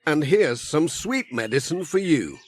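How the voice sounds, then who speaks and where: Friendly British postman for a children's audiobook, male actor. Good-humoured, chatty, very expressive, taking his time. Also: a little high, measured pace, husky, heavily acted. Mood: amused, kindly. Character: friendly, cheerful village postman.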